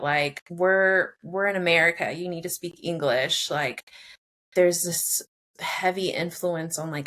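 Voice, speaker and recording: A woman's voice: on the low side, a bit bright. A young woman from Mexico, a therapist guest, answering interview questions in an earnest, reflective way with many "like"s and "you know"s.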